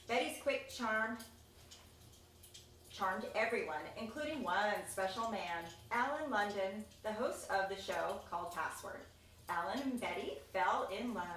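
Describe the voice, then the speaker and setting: A woman reading a children's picture book aloud, expressive and chatty, with casual asides about the pictures. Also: fairly high pitch. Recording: echoey room, distant mic, faint noise.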